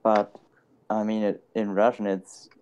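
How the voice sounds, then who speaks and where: Halting young man, low voice. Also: level tone, darkish tone, clean tone, dull audio. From Germany. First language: German. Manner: hesitant, halting, frequent ums and light laughter. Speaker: guest. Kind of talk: casual live chat.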